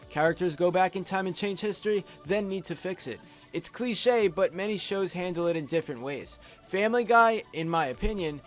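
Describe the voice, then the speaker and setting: High-pitched young man narrating a countdown. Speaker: video host and reviewer. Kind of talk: scripted top-five countdown commentary. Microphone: close USB studio microphone.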